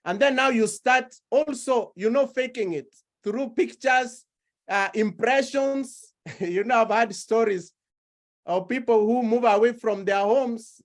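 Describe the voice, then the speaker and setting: A woman preaching a sermon, slow and deliberate, building her points through repeated phrases. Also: fairly high pitch.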